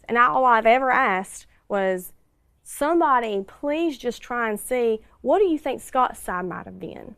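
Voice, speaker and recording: A woman speaks in an interview with a creaky, gravelly edge. Her pitch moves around a lot, and the sound is close and dry. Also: no echo. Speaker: interviewee, relative of a victim. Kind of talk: personal interview account.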